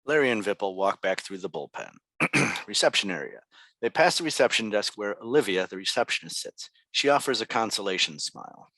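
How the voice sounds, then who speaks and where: A man's voice: lowish voice, very animated, brisk, creaky, pushed and bright, dry recording. A man narrating stage directions from a script at a table read.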